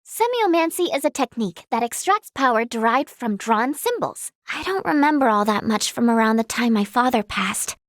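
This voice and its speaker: A woman's voice: a little high, expressive, normal speed, hardly any pauses, no echo, clean studio sound, close to an everyday voice. A woman playing a sweet, timid, earnest teenage girl healer in a video game, with a light, girlish voice and a polite, hesitant way of talking. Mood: earnest, reflective. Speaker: voice actress. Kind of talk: video game character voice lines.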